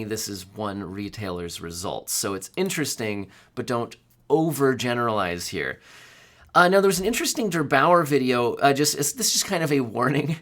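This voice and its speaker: A man in his thirties with a hoarse voice from a cold, talking through hardware news in a casual, conversational way with fillers and self-corrections, recorded on a studio mic at home. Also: medium pitch, fairly bright tone. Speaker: tech news host. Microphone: studio condenser mic.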